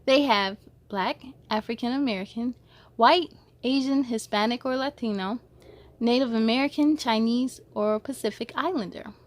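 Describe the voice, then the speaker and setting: Woman voicing an earnest, high-pitched little schoolgirl. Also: normal speed, natural voice. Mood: worried, upset. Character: sensitive, eager schoolgirl in a picture book.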